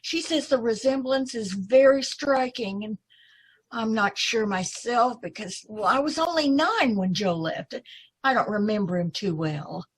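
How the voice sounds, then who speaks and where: A woman's voice: fairly high pitch, lively intonation, unhurried, husky, poor audio. A woman playing a polite, earnest young woman in an old time radio drama, speaking hesitantly and apologetically. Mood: earnest, hopeful. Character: polite, earnest young woman seeking her lost brother.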